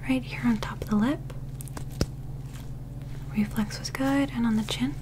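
A young woman doing a soft-spoken ASMR medical roleplay in a breathy whisper, gentle, soothing and reassuring, close to the microphone. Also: a little high, fairly even intonation, measured pace, background noise. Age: young adult.

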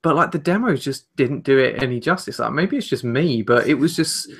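A young man co-hosting a podcast, talking informally and haltingly about video games. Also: medium pitch, animated, creaky, slightly rough. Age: young adult. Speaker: podcast co-host. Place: small room at home.

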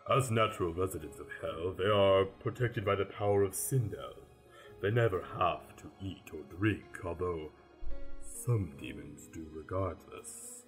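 A grave, formal reaper acted by a man speaks slowly in a very deep voice. He is stern yet courteous, a radio play Death. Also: soft background music, poor audio. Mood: patient. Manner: formal, measured, deliberate.

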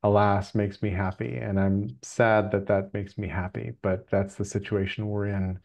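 A man hosting a group video call, talking casually in a measured, reflective way. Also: on the low side, level tone, creaky, darkish tone. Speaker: call host. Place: video call from a room indoors. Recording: slightly dull video-call audio.

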